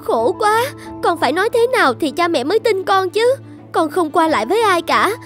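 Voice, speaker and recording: A woman voicing a naive young daughter in an animated folktale. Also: very high voice, brisk, full character voice. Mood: alarmed, pleading. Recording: music bed under the voice.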